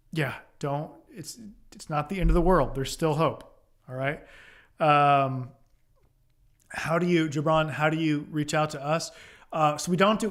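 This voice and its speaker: An American man from Oklahoma giving casual, direct advice to live-stream viewers as a lawyer, reassuring and repeating phrases for emphasis. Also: a little high. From Oklahoma, United States. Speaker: immigration lawyer hosting a live Q&A.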